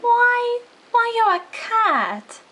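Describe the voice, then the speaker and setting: Woman voicing a curious flustered young girl, cartoon dub, extremely high pitch, lively swoops, earnest. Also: extremely slow, full character voice. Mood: startled, curious. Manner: hesitant, earnest, exclamatory. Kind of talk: cartoon fandub acting. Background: music.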